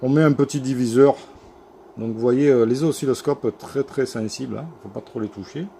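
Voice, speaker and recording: Male technician, informal workshop demo, mellow medium-pitched voice, hesitant and halting delivery, noisy room tone. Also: creaky. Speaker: radio repair technician presenting his work. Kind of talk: informal equipment demonstration. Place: electronics workshop.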